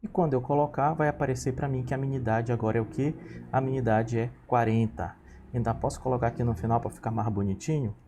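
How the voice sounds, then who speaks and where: A man's voice: medium pitch, fairly even intonation, quick pace, noisy background. A man in his thirties teaching a programming lesson, patiently walking through each step and checking in with his students. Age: 30s. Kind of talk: screen-recorded coding lesson.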